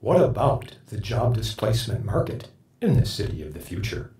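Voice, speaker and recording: Male sample voice, low and animated, in a roomy recording set back from the mic. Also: moderate pace. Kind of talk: spoken sample sentence. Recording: roomy, distant sample clip.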